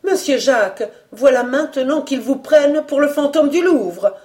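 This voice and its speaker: An excitable middle-aged woman cook from a storybook, played by a woman reader. She chatters breathlessly in exclamations, pitched up high, good-hearted and easily flustered. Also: moderate pace. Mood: alarmed.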